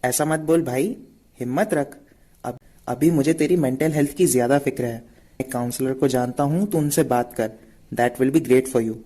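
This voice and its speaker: A man's voice: mid-range voice, moderate pace, warm tone, close to an everyday voice. An Indian man playing an earnest, supportive young friend in a drama. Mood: concerned, encouraging. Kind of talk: amateur drama acting.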